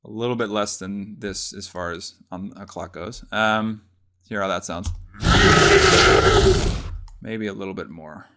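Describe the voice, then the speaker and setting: A man presenting a casual software tutorial, explaining step by step in an informal way. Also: lowish voice, a little slow, long pauses, heavy background noise.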